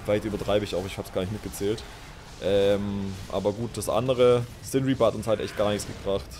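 A man's voice: fairly low pitch, little pitch movement, fairly quick, darkish tone, a little hiss or hum. A young man, chatting casually to his stream chat while playing, thinking aloud and thanking viewers. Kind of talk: casual game commentary to chat. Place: small indoor room.

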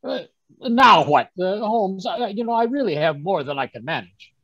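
A man playing a fussy, flustered gentleman sidekick in old-time radio style, husky and stammering over a video call. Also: high voice, animated, normal speed, no echo, full character voice. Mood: grumbling. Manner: stammering, blustering, muttering. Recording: muffled video-call audio.

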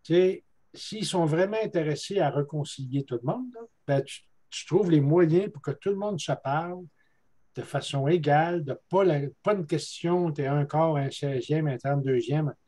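Older Quebec French man on a video call, slightly high, mellow, rambling. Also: moderate pace. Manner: rambling, repeats words while searching for them. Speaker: guest speaker, traditional elder. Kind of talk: casual talk at a videoconference. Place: room at home with bookshelves. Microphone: earbuds on a video call.

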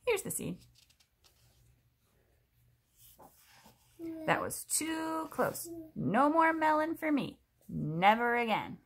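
A woman voicing a melodramatic young watermelon-loving crocodile from a picture book, with exclamatory, drawn-out delivery. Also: high-pitched, sing-song, vocal fry, voice pushed up, exaggerated voice. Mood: relieved, vowing. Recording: noisy home recording.

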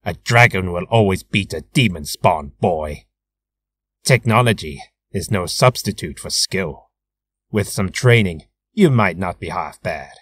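A man's voice: sits low, expressive, a little slow, fairly bright tone, husky, pitched below the performer's own voice, full character voice. A man voicing a confident, disciplined martial arts hero in a cool, self-assured way for a game character reel.

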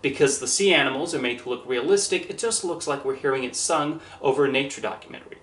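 Man, thirties, scripted review, measured and earnest, mid pitch, echoey small room. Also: a bit bright. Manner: measured, earnest, carefully worded delivery. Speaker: movie reviewer. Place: small indoor room with posters and shelves. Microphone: camera at a few feet, standing.

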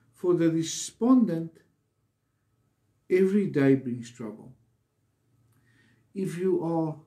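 Slow, mellow male preacher. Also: fairly high pitch. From South Africa. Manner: earnest, deliberate, pausing between short phrases. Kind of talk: devotional sermon.

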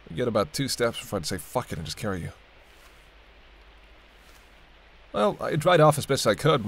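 A man's voice: fairly low pitch, creaky. A man playing a sardonic but protective vampire in an intimate roleplay audio drama, bantering and speaking straight to the listener. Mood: wry, persuasive. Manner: bantering, wry, murmured asides. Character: teasing, sardonic male vampire, centuries-weary but protective.

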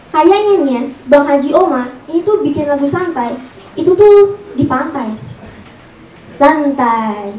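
High-voiced young woman, echoey stage. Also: darkish tone, distant mic, a little hiss or hum. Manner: performed storytelling with repeated punchlines. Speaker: stand-up comedian. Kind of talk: stand-up comedy routine. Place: small indoor comedy venue with audience. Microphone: handheld mic through speakers, recorded from audience. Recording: echoey room, off-mic camera audio.

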